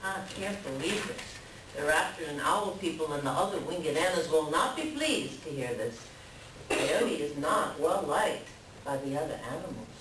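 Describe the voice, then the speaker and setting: A woman's voice: sits low, animated, moderate pace. A woman voicing an indignant, gossipy bird in a readers theater, incredulous and blunt. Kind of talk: readers theater stage reading. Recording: echoey library room, distant, noisy.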